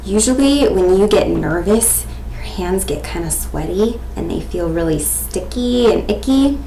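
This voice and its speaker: A woman in her twenties presenting like a teacher, expressive and questioning, as in an interactive read-aloud. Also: medium pitch, measured pace, vocal fry. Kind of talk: interactive picture-book reading and explanation for children. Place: small domestic room indoors. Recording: echoey room, faint background noise.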